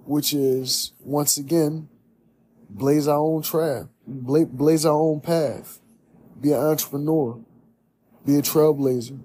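A man's voice: on the high side, fairly even intonation, slow, dark tone, a little hiss or hum. A young man talking alone to a close camera in a small room, earnest and preachy, often repeating himself. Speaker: podcast host. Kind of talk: reflective monologue.

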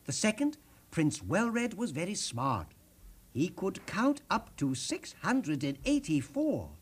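A man hosting as a storyteller, telling children's tales theatrically, with dramatic, deliberate phrasing. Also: a little high, husky. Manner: dramatic, deliberate phrasing with direct questions to listeners. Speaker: storyteller host.

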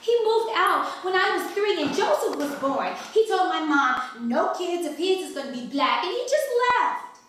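A woman playing a high-pitched, boastful girl in echoey room. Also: sing-song, normal speed, a bit bright, exaggerated voice. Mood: confiding. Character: bright, boastful, chatty twelve-year-old Trinidadian girl. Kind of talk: staged play reading. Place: wood-panelled mansion room, indoors. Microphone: distant camera microphone. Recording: very echoey room, distant mic, faint hiss.

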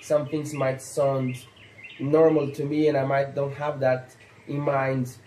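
Brazilian-accented man, thirties, mellow dark tone, halting non-native phrasing, roomy echo, faint birds outdoors. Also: medium pitch, little pitch movement. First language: Portuguese. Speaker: stock dog trainer. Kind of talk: instructional talk to camera. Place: outdoor patio by a pool.

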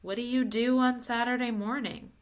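Brash, excitable schoolboy, read by a woman. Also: fairly high pitch, normal speed, voice pushed up, close to an everyday voice. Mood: blunt, baffled. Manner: blunt, excitable. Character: brash, boastful, blunt schoolboy narrator.

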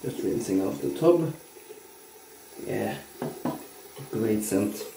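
A man's voice: on the low side, creaky, slight background noise. A man in his thirties with a cold and a stuffy nose, reviewing shaving soap casually in his bathroom while shaving on camera. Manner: slow, relaxed, frequent uh and um pauses. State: congested from a cold, sore throat. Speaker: shaving vlogger. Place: small bathroom indoors. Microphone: camera at arm's length. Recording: echoey bathroom.

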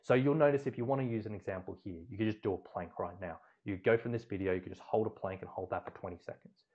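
A man's voice: fairly low pitch, quick pace, darkish tone, lo-fi sound. A man in his thirties teaching a slide lesson as a strength coach, chatty and repeating words for emphasis, recorded on a webcam indoors. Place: indoor room, webcam in a corner circle.

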